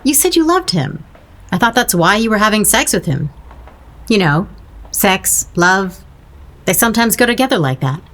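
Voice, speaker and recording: Blunt woman friend, lively swooping intonation, mid-pitched, wry, needling, audio drama. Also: normal speed, pauses often. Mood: prodding. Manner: dryly sarcastic, coaxing.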